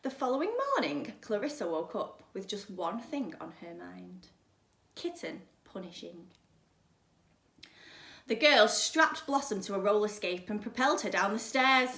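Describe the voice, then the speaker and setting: Lady teacher reading storytime to kids, dramatic and emphatic, mid pitch, roomy echo. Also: fairly bright tone. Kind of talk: expressive children's story reading. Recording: echoey room.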